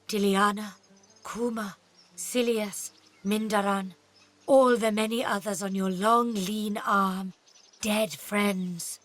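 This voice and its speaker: A woman playing a blind elf seer in a fantasy game, speaking gravely and haltingly in archaic phrasing. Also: a little high, a bit bright. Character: solemn blind female elf seer, accusing and reverent. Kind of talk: fantasy video game acting.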